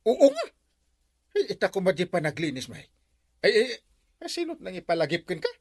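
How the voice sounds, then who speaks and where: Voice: medium pitch, huge pitch swings, moderate pace, no room sound, clean studio sound. Jolly village captain with wildly swooping voice, acted out. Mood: carefree, defensive. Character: jolly, carefree village captain who loves parties. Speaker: barangay captain in a comedy drama. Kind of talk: Ilocano radio comedy drama acting.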